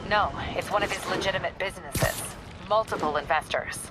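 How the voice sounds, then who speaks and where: A woman's voice: lowish voice, a little fast, heavy background noise, close to an everyday voice. A woman playing a businesslike, by-the-book police captain in a video game, with music behind her. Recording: loud music over the voice.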